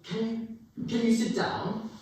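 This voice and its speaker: A man's voice: very high voice, level tone, slow pace, darkish tone. A young man acting a crusty old miser, sceptical and frightened, in a theatrical, exclamatory stage reading. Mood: scornful, sceptical. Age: young adult. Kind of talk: dramatic stage reading of a Victorian classic. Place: large echoey room with live ambience. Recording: echoey hall from far off, background noise.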